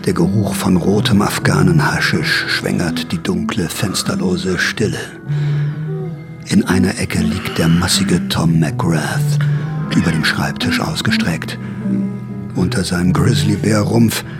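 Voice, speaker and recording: A man's voice: deep voice, steady tone, unhurried, over loud music. A man playing a sly typewriter thief who narrates his own story in a theatrical, wry radio-play style. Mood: dramatic, wry. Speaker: first-person narrator and lead of a radio drama.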